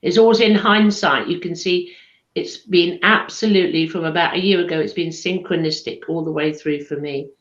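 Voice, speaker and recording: A posh southern English woman talks in a relaxed, chatty way on a live show, dropping in "darling" as she goes. Her voice sits a little low. The sound is dull and lo-fi, with a roomy echo around her. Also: moderate pace. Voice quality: posh, calls people darling. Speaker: medium and chat show co-host. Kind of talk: casual live chat. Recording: echoey room, dull lo-fi sound.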